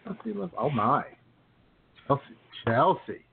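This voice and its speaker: A man's voice: medium pitch, very animated, unhurried, gravelly, slight background noise. A man co-hosting a podcast with casual, joking banter and mock-serious remarks. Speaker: co-host of a comedy podcast. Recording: tinny phone-like internet radio audio.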